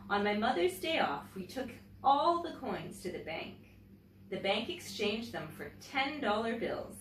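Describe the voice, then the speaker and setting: A woman teacher reading a picture book aloud to children in an expressive storytelling manner, pausing to comment and ask questions. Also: a little high. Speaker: teacher and story-time host. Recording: roomy, distant mic, faint background noise.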